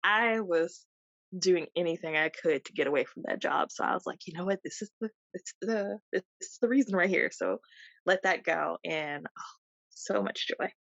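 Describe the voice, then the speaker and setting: A woman with a slightly low voice tells stories on a casual podcast and breaks into laughter often. The sound is dry and close, with a dull, lo-fi tone. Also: normal speed, creaky, a bit bright, no echo. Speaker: podcast co-host. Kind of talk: casual chat.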